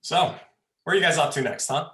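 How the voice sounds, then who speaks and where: An actor playing a laid-back young drinker, lazy and hesitant, in a dramatic script reading with echoey sound. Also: male voice, fairly high pitch, brisk, a bit bright, close to an everyday voice. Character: laid-back beer-drinking young man chatting up girls. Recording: roomy, echoing livestream sound.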